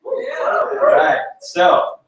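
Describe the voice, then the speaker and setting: A man's voice: very high voice, wildly swooping pitch, very slow, a bit bright. A young man emceeing an event, chatty and self-deprecating, laughing at his own slip-ups. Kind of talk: casual event emcee announcements. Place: large echoey event space. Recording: echoey hall, voice from far off.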